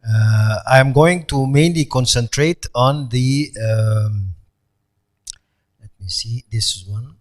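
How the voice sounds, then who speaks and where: A man's voice: mid-range voice, takes it slowly. Egyptian man lecturing, filler-heavy. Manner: hesitant, many fillers, non-native phrasing. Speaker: professor lecturer. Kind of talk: conference lecture.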